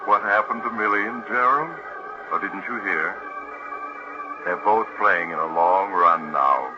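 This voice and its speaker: A man's voice: deep voice, a little slow, over music. A man playing a mysterious storyteller, wry and darkly amused, hosting a 1940s radio suspense drama. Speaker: host narrator. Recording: muffled old radio recording.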